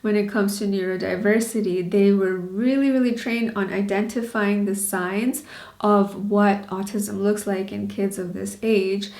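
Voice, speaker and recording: A woman's voice: mid-range voice, little pitch movement, unhurried, darkish tone, echoey room. A woman in her thirties from Bangladesh and Canada, talking candidly in a personal vlog, earnest and questioning with small laughs. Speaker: vlogger.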